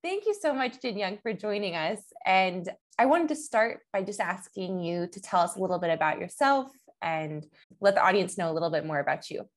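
Young female podcast host, mid-pitched, meandering conversational questions. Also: sing-song, brisk. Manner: long, loosely built questions that search for words.

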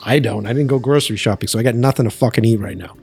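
A man's voice: mid-range voice, rapid-fire, darkish tone, breathy edge, soft background music. A man from the US East Coast hosting a podcast, chatty and joking, swearing casually. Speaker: podcast host. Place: Los Angeles, remote call.

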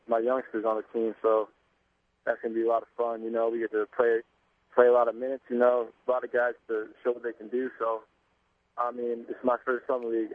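A young man calling in to a radio interview by phone, relaxed and laid-back with many fillers. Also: mid-range voice, no echo. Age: young adult. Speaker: basketball player calling in. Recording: tinny phone line.

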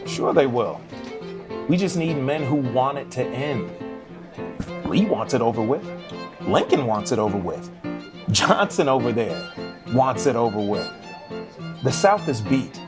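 A man playing a friendly, steady, hopeful American barman, plain-spoken and reassuring, in an audio drama. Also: medium pitch, measured pace, pauses often, lightly acted. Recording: music behind the voice.

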